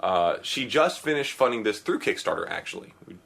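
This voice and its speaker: A man's voice: fairly low pitch, expressive, brisk, creaky, fairly bright tone. A man hosting a podcast, chatting in an upbeat, joking, rambling way about a web comic. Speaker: podcast host. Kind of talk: casual podcast chat.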